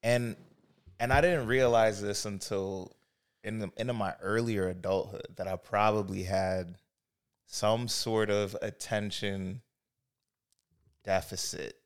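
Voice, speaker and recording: Low-voiced man in podcast chat. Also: steady tone, takes it slowly, pauses often, clean tone. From United States, Haitian family. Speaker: podcast co-host.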